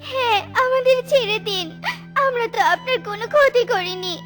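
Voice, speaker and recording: A woman's voice: extremely high pitch, normal speed. A woman voicing a captive parent bird pleading desperately in a children's cartoon. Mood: desperate, tearful. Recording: music behind the voice.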